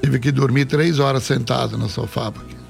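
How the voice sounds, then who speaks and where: A man's voice: medium pitch, fairly even intonation, normal speed, creaky, faint music behind. A man with a Rio Grande do Sul accent hosting a casual radio show, reading listener messages in a jokey, chatty way and laughing easily. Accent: Rio Grande do Sul Portuguese. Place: radio studio.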